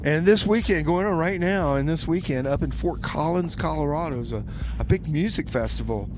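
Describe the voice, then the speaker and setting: A man's voice: fairly high pitch, fairly quick, background noise. A man hosting a casual music radio show, chatty and folksy, often repeating his phrases. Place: radio studio.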